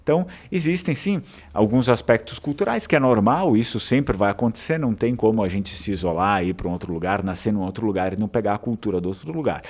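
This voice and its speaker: Low-voiced southern Brazilian man rambling. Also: quick pace, few pauses, darkish tone. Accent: southern Brazilian Portuguese. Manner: rambling, many fillers and restarts. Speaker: channel host. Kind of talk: casual talk to camera.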